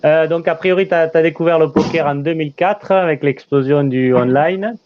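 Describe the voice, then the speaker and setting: A man's voice: a little high, normal speed, no echo, muffled recording. A man hosting a casual interview over a video call, talking hesitantly with many fillers. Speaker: interview host, channel creator.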